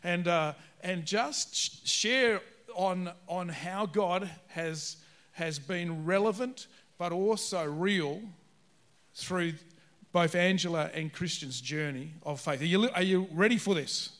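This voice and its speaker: A man's voice: high voice, slow, a bit bright. A man hosting an interview segment in a church service, talking in a rambling, friendly way with many restarts.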